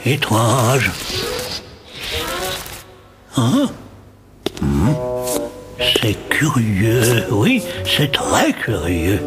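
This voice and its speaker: Old male valet, cartoon, achy grumbling, very slow, wildly varying pitch. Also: big pitch swings. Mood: puzzled. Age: older. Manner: muttering, complaining. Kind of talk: cartoon character acting. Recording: loud music under the voice.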